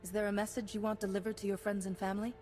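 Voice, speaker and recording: A woman acting a resolute, mid-pitched game heroine. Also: flat delivery, rapid-fire, warm tone, faint music behind, lightly acted. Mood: steady. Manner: direct, assured. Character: resolute, wry Republic heroine taking charge. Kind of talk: video game cutscene acting.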